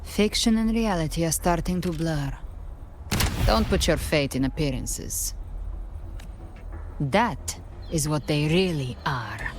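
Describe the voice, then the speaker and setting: A woman's voice: low-pitched, expressive, takes it slowly, pauses often, background noise. An actress playing a cool, world-weary female game warrior who speaks in a terse, detached, sardonic way. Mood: cool, grim. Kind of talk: video game voice acting.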